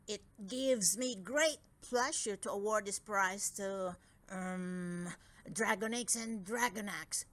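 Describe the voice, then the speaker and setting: Old dragon king for a storybook, acted by a woman: mild, fretful, ponderous, unhurried delivery. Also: mid-range voice, fairly bright tone. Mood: hesitant, formal. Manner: pondering, hemming and humming. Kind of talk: children's storybook character voice.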